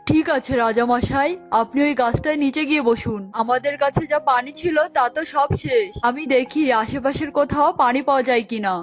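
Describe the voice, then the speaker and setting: Casting: brave, obedient cartoon warrior, woman performer, high voice, respectful, music underneath. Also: fairly quick, hardly any pauses, close to an everyday voice. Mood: dutiful, willing. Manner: respectful, ready. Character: brave, dutiful male warrior serving a king. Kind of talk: children's cartoon voice acting.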